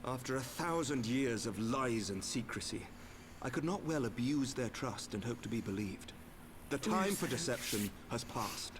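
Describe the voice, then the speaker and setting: Noble, duty-burdened male knight commander played by a man, mid pitch, formal game cutscene delivery, clear background ambience. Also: expressive, noisy background, natural voice. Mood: solemn, burdened. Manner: formal, measured, solemn. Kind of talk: scripted game cutscene acting.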